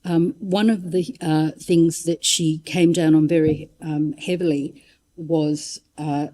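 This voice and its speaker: A woman's voice: deep voice, steady tone, takes it slowly, warm tone. A woman giving an informal history talk, conversational and wry, drifting into asides and reading out quotations. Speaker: public lecturer.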